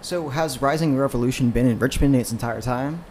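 A man's voice: mid-range voice, fairly quick, a little mellow, slight background noise. A man hosting a casual podcast, talking loosely and swearing now and then. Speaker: podcast host.

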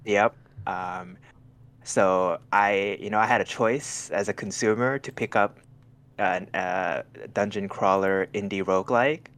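A man from Thailand with a very low, deep voice chats casually as a guest on a call. He talks in halting bursts, restarting sentences and leaning on fillers as he gathers his thoughts. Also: expressive, gravelly, a bit bright. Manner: hesitant, many restarts and "like" fillers. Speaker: podcast guest. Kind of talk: casual chat over a call.

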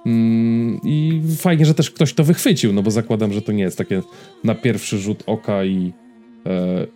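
A Polish man co-hosts a pop-culture podcast in a fairly low, soft-edged and mellow voice. He chats informally, explaining things to his partner and the listeners. Faint music plays underneath. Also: normal speed. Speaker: podcast co-host.

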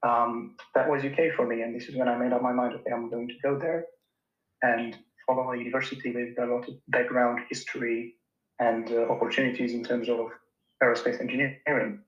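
Flat-voiced young man from Romania, echoey. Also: medium pitch, fairly quick, lo-fi sound. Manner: thoughtful, hesitant, many fillers and restarts. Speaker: engineer guest. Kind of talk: casual interview answers.

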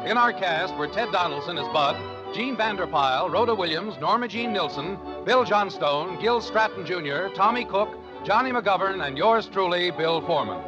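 An American man announcing a vintage radio show from a script. His voice is pitched high, with prominent music behind him on a muffled old broadcast recording. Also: animated, normal speed.

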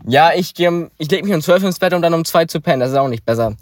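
A man's voice: on the high side, animated, quick pace. A teenage young man chatting casually as podcast co-host, rambling and hesitant with many filler sounds. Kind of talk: casual chat.

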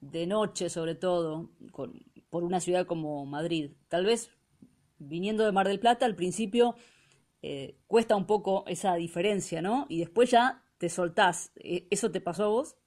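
Argentine female radio host, lowish voice, warm curious questioning. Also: a little slow. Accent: Argentine Spanish. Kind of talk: radio interview.

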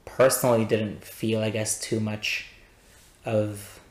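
A man's voice: fairly low pitch, level tone, takes it slowly. A man in his twenties, a podcast guest, chatting casually with frequent "um"s and laughs. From born in Afghanistan, raised in Pakistan and Canada. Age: 20s. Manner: chatty, laughs often, many "um"s. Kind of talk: casual chat. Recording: echoey room.

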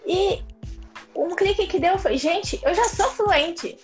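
A woman from Brazil chatting as an interview guest, animated and laughing, with many restarts. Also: extremely high pitch, slow pace, faint music behind. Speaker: interview guest, English learner. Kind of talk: casual live interview chat.

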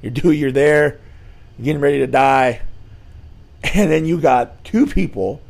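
A slightly hoarse middle-aged man hosting a weekly church show, giving an earnest, informal Bible lesson with many fillers and restarts. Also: a little high, measured pace, a little mellow. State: slightly hoarse, losing his voice.

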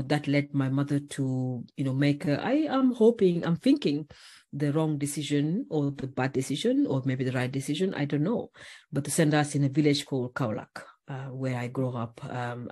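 Earnest woman, halting speech. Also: deep voice, mellow. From Senegal. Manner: earnest, heavy on fillers like "uh" and "you know". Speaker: guest. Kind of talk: podcast interview.

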